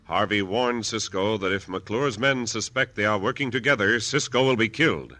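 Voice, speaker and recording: A man's voice: lowish voice, normal speed, bright, forceful tone, no echo. An American man narrating as an old-time radio announcer, in a dramatic scripted style. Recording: vintage radio with faint music.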